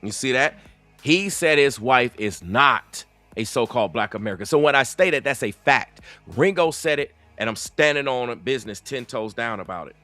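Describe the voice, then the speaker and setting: A man's voice: medium pitch, animated, moderate pace, pushed and bright, no echo, soft background music. A man hosting a reaction video, giving heated, rhetorical commentary in a strong dialect.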